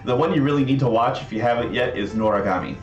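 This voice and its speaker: A man's voice: medium pitch, fast, dull audio. A man presenting a casual livestream demo, explaining things in a rambling way with frequent asides. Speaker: presenter demonstrating a visual novel project. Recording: roomy echo, faint music behind. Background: faint music.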